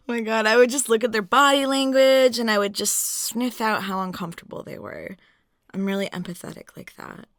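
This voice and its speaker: Woman acting as a cute flirty waitress, giggly n chatty, kinda coy, mid-pitched voice, very dry recording. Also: dry recording. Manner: giggly, chatty, coy, trailing into filler questions. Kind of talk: intimate flirtatious roleplay audio.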